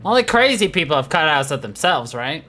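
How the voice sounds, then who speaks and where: A man's voice: a little high, big pitch swings, a little fast, sharp tone, faint music behind. A male streamer making joking, offhand remarks during casual gameplay commentary.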